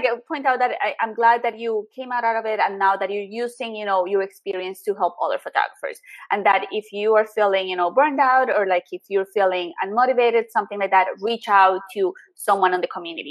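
A woman's voice: mid-range voice, fairly quick, a bit bright, low-quality recording. A woman hosting a casual podcast interview, friendly and encouraging, laughing often. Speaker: podcast host.

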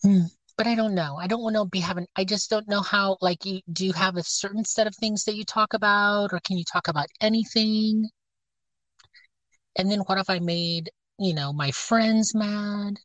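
A woman's voice: mid-range voice, normal speed, fairly bright tone, no room sound, lo-fi sound. A woman with a scratchy voice chatting casually, rambling and hesitant with many fillers and restarts. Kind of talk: casual chat. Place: outdoors, near her car.

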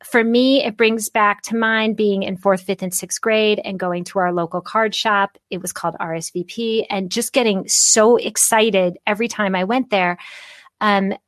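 A woman's voice: mid-range voice, fairly even intonation, moderate pace, dry recording, clean studio sound. Chatty middle-aged woman podcast host. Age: 50s. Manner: warm, chatty, enthusiastic, laughs often. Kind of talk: casual interview chat.

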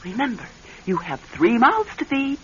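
A woman's voice: a little high, wildly swooping pitch, normal speed, fairly bright tone. An actress playing an affectionate, playful married woman in a radio drama. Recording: dull vintage radio recording, faint noise.

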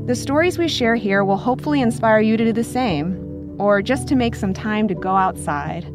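A woman's voice: a little high, animated, moderate pace. A woman reading scripted promotional narration as the host of a story podcast. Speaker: podcast host giving the outro. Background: music bed.

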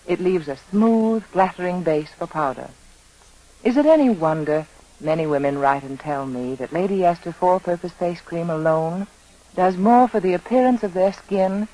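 A woman's voice: fairly low pitch, mellow, rough recording. A woman spokesperson delivering a scripted 1940s radio advertisement on an old broadcast recording. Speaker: commercial spokeswoman.

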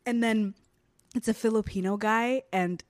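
Slow, relaxed young woman, dry room. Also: fairly high pitch, dry recording. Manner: relaxed, trails off with fillers and laughs. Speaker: podcast co-host. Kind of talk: casual chat.

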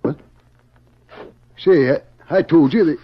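An actor playing an earnest American cowboy in an old-time radio western, with a folksy way of talking. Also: male voice, on the high side, takes it slowly. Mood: alarmed protest. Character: earnest cowboy husband, wrongly accused of killing. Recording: dull, noisy old radio recording.

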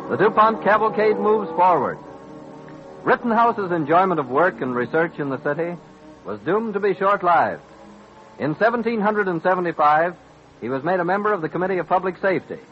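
A man narrates a vintage radio drama in formal, declamatory style. His voice is a little high for a man. Soft music sits behind him, and the old recording sounds dull. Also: animated, brisk.

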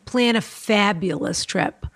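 An enthusiastic woman co-host gives advice with emphatic delivery. Her voice has a gravelly, fry-edged texture. The sound is dry and close, with no room around it. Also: medium pitch, slow pace, fairly bright tone, no room sound. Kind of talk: casual advice chat.

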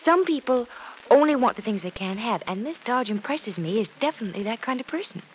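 A woman's voice: fairly high pitch, lively intonation, brisk, natural voice, low-quality recording. An actress in a vintage radio soap playing a sympathetic, perceptive young woman who talks in a thoughtful, coaxing way. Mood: thoughtful, advising. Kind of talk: 1940s-50s radio soap acting. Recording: old radio recording, faint noise.

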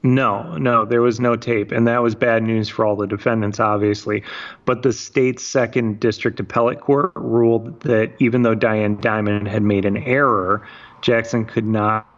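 A man from Michigan hosts and narrates in a measured way that turns blunt at times. His voice sits low. The recording sounds dull and lo-fi. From Michigan, USA. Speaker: podcast host. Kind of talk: scripted narration mixed with casual chat.

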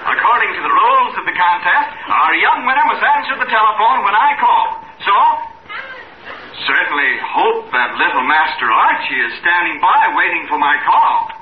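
An actor playing a gushing children's radio uncle in 1940s American comedy style, cloying and singsong, as if coming out of a radio. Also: male voice, sits high, very animated, moderate pace. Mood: gushing, showy. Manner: cloying, singsong announcer patter addressed to children. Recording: muffled, echoey, noisy old radio recording.

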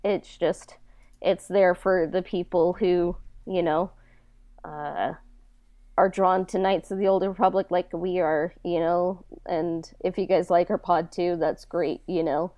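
A woman's voice: lowish voice, steady tone, unhurried, warm tone, dry recording. A woman podcaster being interviewed, chatting casually and reflectively in a modest, hesitant way. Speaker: podcast co-host being interviewed about own project.

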